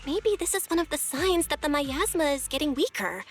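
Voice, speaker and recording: Kind, observant young anime heroine: composed but melodic, pitched high. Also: female voice, lively intonation, moderate pace, faint music behind. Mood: thoughtful. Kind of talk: anime-style video game voice acting.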